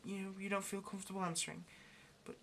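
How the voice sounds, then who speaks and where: Someone acting as a lonely clingy demon in a cage, halting n coaxing, medium pitch, asmr roleplay. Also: flat delivery, vocal fry, fairly bright tone, a little hiss or hum. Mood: grateful, gentle. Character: lonely, pleading sealed demon, clingy and affectionate. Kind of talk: ASMR roleplay, intimate one-sided conversation.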